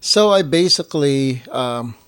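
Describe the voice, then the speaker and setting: Male guest, casual and anecdotal, mid-pitched, informal delivery, recorded dry. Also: expressive, a little slow, fairly bright tone, clear, resonant tone, no echo. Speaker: guest on partner's family show. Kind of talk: casual chat, anecdotal storytelling.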